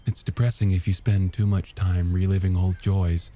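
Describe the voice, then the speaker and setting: A man's voice: deep voice, little pitch movement, moderate pace, warm tone, natural voice. A man voicing a wistful, nostalgic married woman in an audiobook, reminiscing with some hesitation. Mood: gentle. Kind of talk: audiobook character dialogue.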